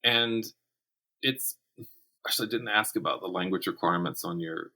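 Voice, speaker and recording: An American man in his fifties answering interview questions conversationally, thinking aloud in a candid, animated way. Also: sits low, normal speed. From South Carolina, United States. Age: 50s. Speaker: interview guest, horror writer and teacher.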